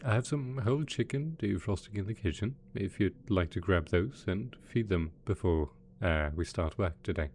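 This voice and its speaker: A deep-voiced mad scientist supervillain, acted by a man for an ASMR roleplay. He is possessive and doting, coaxing softly with a sweetly sinister manner. Some background noise sits behind the voice. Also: normal speed, hardly any pauses, natural voice. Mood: affectionate, soothing. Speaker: voice actor in audio roleplay.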